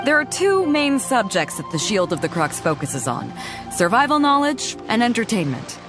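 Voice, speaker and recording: A woman's voice: fairly high pitch, big pitch swings, moderate pace, music in the background. An actress voicing a bold, confident female pirate captain in a game cutscene, hearty and commanding with a teasing edge. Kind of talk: video game cutscene dialogue.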